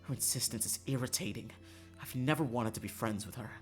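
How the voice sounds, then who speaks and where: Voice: medium pitch, a little fast, close to an everyday voice. Haughty, guarded young princess read by a streamer, formal, dismissive, music underneath. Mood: cold, dismissive.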